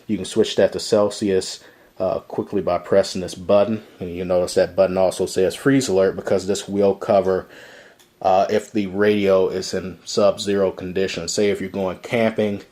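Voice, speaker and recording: A man's voice: sits low, flat delivery, moderate pace, warm tone. A man giving a casual, step-by-step product demonstration in an explanatory way, recorded in a room indoors. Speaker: product reviewer.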